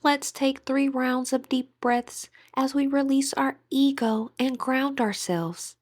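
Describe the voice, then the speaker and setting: Soft higher-pitched woman guiding meditation. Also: steady tone, slow pace, dry recording, clean studio sound. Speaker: guided meditation instructor. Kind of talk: guided meditation, scripted narration.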